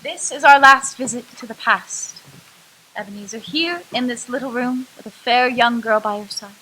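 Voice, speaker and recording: A woman acting out a solemn spirit guide in a radio play, with a high, bright voice. Her speech is measured and stern, and there is a faint hiss behind it. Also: rough recording. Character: solemn female ghost guiding a miser.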